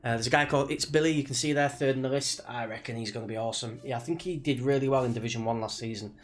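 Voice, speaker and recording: A man's voice: mid-range voice, rapid-fire. A British man with a slight cold chats casually on a livestream, rambling in a laid-back way like a racing gamer talking to viewers. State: sniffly from a cold. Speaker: live streamer, sim racing driver. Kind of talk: casual livestream chat before a race.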